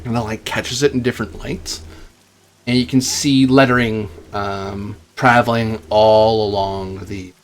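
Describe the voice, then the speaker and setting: A man narrating a tabletop game as game master, in a halting, deliberate way with pauses. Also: fairly low pitch, steady tone, a little hiss or hum.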